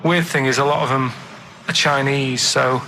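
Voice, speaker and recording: A man chatting casually on a radio show, telling odd facts and stories in a halting, earnest, matter-of-fact way. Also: mid-range voice, steady tone, bright, forceful tone, slight background noise. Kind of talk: casual rambling radio chat and anecdotes.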